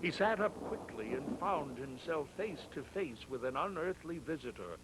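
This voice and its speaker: A man narrating a scripted storybook in a measured, dramatic storytelling manner. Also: on the high side, expressive, poor audio.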